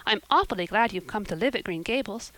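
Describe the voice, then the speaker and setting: A woman's voice: medium pitch, expressive, quick pace, fairly bright tone, no room sound, lightly acted. A woman voicing a frank, cheerful young girl who speaks plainly.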